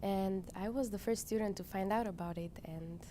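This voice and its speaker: A woman's voice: mid-range voice, normal speed, dark tone, a little hiss or hum. A young woman, a student violinist answering interview questions. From Romania. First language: Romanian. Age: young adult.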